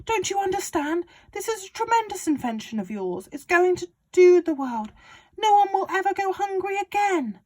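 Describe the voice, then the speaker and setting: A woman doing a shouting overexcited father. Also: very high-pitched, sing-song, normal speed, pitched above the performer's own voice. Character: excitable bossy father, middle-aged man.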